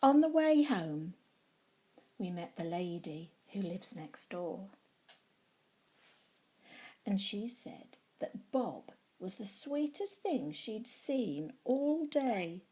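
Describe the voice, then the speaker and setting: Very slow, animated woman reading a story. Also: medium pitch. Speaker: storybook reader. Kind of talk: expressive children's story reading.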